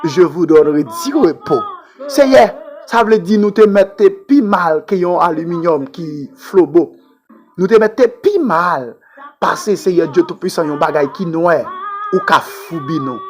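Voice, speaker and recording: Haitian person praying out loud, really fervent n pleading, super animated pitch, some music behind. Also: measured pace. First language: Haitian Creole. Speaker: prayer leader. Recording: music playing under the voice.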